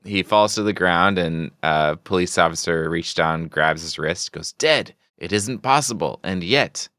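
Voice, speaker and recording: A man with a low, smooth and resonant voice retells a comic book story as a podcast host. His telling is theatrical and measured, recorded close and dry. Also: bright, forceful tone, no room sound.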